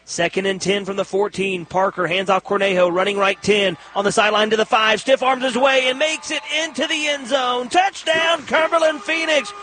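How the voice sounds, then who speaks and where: A very high-voiced American man calls football play-by-play for radio. His tone is bright and forceful, and he delivers short, punchy phrases that build toward big shouted calls. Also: fairly even intonation, moderate pace, hardly any pauses.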